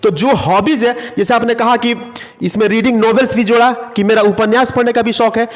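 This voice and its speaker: Slow-drilling man teaching English phrases. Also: extremely high pitch, fairly even intonation, long reverb tail. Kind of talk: classroom lesson.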